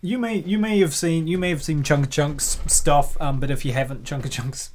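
A man's voice: on the high side, normal speed, darkish tone, a little hiss or hum. A man hosting with casual, chatty reaction commentary, rambling and jokey. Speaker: host of comic dub channel.

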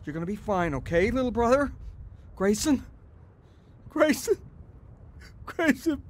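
A man's voice: very high-pitched, big pitch swings, measured pace, heavily acted. A man with an American accent acting a proud, cynical, stubborn older brother in a first-person audio drama. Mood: panicked, pleading. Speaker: actor narrating and playing the lead.

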